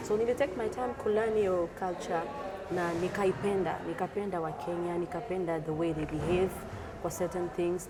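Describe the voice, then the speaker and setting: Low-voiced Congolese woman over background noise. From Democratic Republic of the Congo. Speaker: interview guest. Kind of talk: conversational interview, code-switching. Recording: clearly noisy background ambience.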